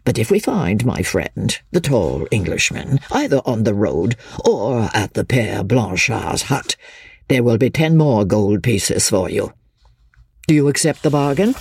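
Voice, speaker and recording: A man as a husky, suave, menacing official. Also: on the low side, sing-song, unhurried, heavily acted. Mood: cold threat. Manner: quiet, impatient, commanding. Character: suave, calculating, menacing male official in authority. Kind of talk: audiobook character voice.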